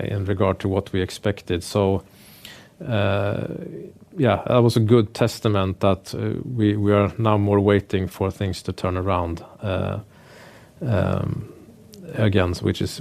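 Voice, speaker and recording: A man speaking as a finance executive presenting quarterly results, in a measured, businesslike and somewhat hesitant way. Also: on the low side, little pitch movement, vocal fry, a little mellow. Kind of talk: earnings call presentation, prepared remarks delivered semi-freely.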